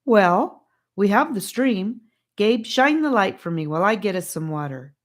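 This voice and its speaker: Lady doing a level-headed storybook boy, measured and reassuring, lots of up and down in the voice. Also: mid-range voice, lively intonation, voice pushed up, lightly acted. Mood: practical. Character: calm, sensible boy leading younger children.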